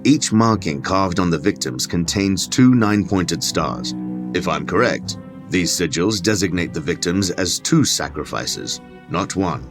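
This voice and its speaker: A man's voice: low voice, animated, unhurried, music in the background. An actor playing a learned, pedantic clergyman scholar in an audio drama, precise and dryly sardonic. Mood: absorbed, explanatory. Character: learned, condescending male occult scholar, clergyman. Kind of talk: dramatic audio fiction acting.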